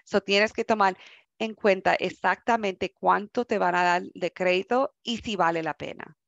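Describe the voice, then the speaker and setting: A woman's voice: medium pitch, normal speed, vocal fry, dry recording. A woman, an English speaker, presents a financial workshop over a video call. Her delivery is conversational, full of restarts and English words. The sound is dry and a little dull.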